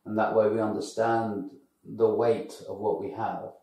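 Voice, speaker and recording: Gravelly, mellow male co-host with a reflective, halting delivery, recorded off-mic in a roomy space. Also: fairly low pitch, little pitch movement. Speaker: podcast co-host. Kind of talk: reflective conversational chat. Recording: echoey room, distant from mic.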